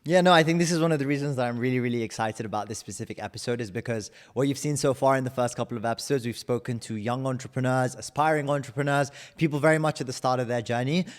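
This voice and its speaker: A man hosts a podcast with an eager, enthusiastic manner, repeating words as he gets each question going. His voice sits at an ordinary male pitch and rings with a long hall reverb. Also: quick pace, few pauses. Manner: enthusiastic, repeats words when starting sentences. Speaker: podcast host. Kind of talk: interview questions, casual chat.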